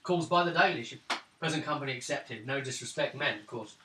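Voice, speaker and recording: A man's voice: medium pitch, a little fast. A man improvising narration and dialogue as a tabletop game master. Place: room around a gaming table. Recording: roomy, distant mic, faint noise.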